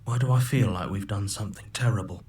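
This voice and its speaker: An actor playing a decent, earnest inner voice of a game hero who talks in a reasonable, conspiratorial way. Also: male voice, fairly low pitch, fast talker, a little mellow, natural voice. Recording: game audio with background noise.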